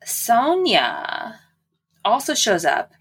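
A woman's voice: on the high side, huge pitch swings, very slow, a bit bright, husky. A woman co-hosting a podcast, casually recapping a book with wry, sarcastic asides. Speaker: podcast co-host.

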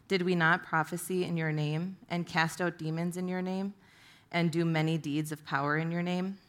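A woman's voice: low-pitched, fairly bright tone. A woman reading scripture aloud as a volunteer reader.

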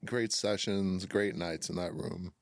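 A young man from Minnesota with a deep voice, chatting as a guest in a relaxed, scatterbrained way and laughing often. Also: slow, vocal fry, dry recording, clean studio sound. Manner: laid-back, self-described scatterbrained, laughs often. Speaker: guest, music artist and producer. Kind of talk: casual podcast chat.

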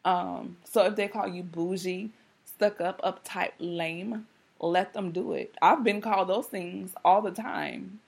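Woman, podcast host, slightly hoarse, low-ish voice, casual and candid. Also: steady tone, takes it slowly. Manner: candid, conversational, many fillers. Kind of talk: casual monologue.

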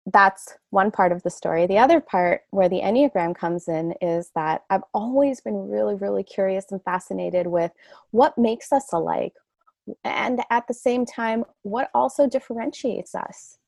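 A woman's voice: mid-range voice, gravelly, darkish tone, dry recording, studio quality. A middle-aged woman from Canada, a guest on a podcast, speaking earnestly and reflectively in long flowing sentences. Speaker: guest, coach. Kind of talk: podcast interview.

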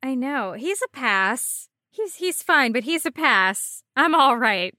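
A woman with a bright, somewhat high voice chats as co-host of a comedic gaming podcast. Her delivery is casual, blunt and wry. The recording is dry and close. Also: big pitch swings, slow pace, dry recording. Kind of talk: casual comedic podcast chat about a video game.

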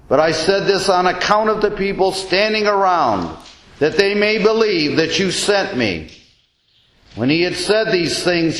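A man, a deacon, reading the Gospel as a solemn scripture reading, with measured, deliberate and expressive phrasing. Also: a little high. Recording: roomy, echoing church-like sound.

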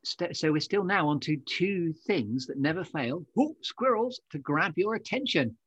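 Husky guy hosting a podcast, chatty interview style, sound is kinda muffled and dry. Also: on the high side, animated, a little slow, a bit bright, no room sound. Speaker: podcast host. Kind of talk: casual interview chat.

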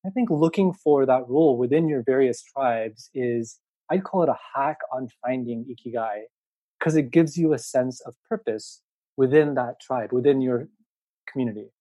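A man's voice: mid-range voice, moderate pace, dark tone, no echo, poor audio. A man raised in the United States, a podcast guest giving reflective, conversational answers full of fillers and restarts. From Japan, raised in the United States. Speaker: podcast guest, venture capitalist.

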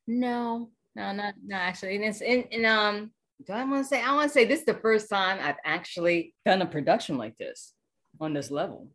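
A woman, a voice actor being interviewed, talking casually and haltingly as she thinks aloud. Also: mid-range voice, expressive, a bit bright.

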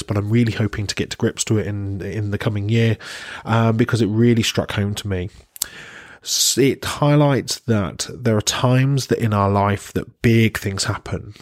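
Low-voiced man, dry close recording. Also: no echo. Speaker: podcast guest, GP partner reviewing books. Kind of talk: spoken personal book recommendation.

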